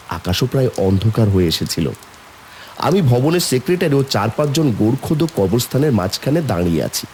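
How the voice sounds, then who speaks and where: A man's voice: medium pitch, a little mellow, a little hiss or hum, natural voice. A man narrating a horror story in the first person as a somber young man, brooding and suspenseful. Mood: mournful, brooding. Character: somber young man recounting an eerie burial.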